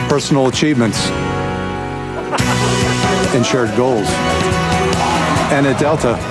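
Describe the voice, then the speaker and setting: A man narrates a scripted promotional spot in a mid-pitched voice. Loud guitar music plays throughout behind his words. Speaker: advert narrator.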